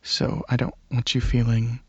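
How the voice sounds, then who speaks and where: Soft, husky, hesitant alien dragon played by a man. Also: mid-range voice, completely flat delivery, no room sound, highs cut off. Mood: apologetic, considerate. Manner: hesitant, halting, softly earnest. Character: shy, gentle alien dragon host, curious about Earth. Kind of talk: ASMR roleplay, soft rambling monologue.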